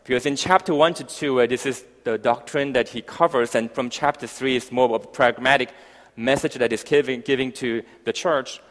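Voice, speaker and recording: A man's voice: mid-range voice, fairly even intonation, normal speed, fairly bright tone. A middle-aged man with a foreign accent, a visiting pastor giving an informal, conversational sermon to a congregation. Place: large church hall, speaking to a congregation. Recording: echoey hall with long reverb.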